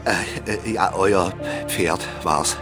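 An actor playing a kindly, learned old half-dwarf tutor who stammers and fusses theatrically in a German children's audio drama. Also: male voice, sits low, takes it slowly, music in the background. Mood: flustered, urgent. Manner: flustered, chuckling, stammering, theatrical.

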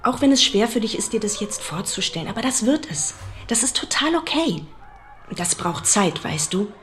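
A patient female teacher , acted by a woman, speaks at a medium pitch in a radio play. She is kind and encouraging, with faint music in the background. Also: sing-song, normal speed, natural voice. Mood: reassuring. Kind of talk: German radio drama acting.

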